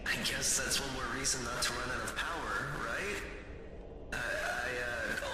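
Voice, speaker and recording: Casting: male actor as chatty, uneasy night-shift guard for a game, mid-pitched, halting phone-message delivery, very echoey sound. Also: heavy background noise, natural voice. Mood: awkwardly upbeat. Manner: hesitant, stammering, falsely reassuring. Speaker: security trainer on recorded messages. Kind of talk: casual rambling phone-message acting. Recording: echoey long reverb, loud music behind. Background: loud music.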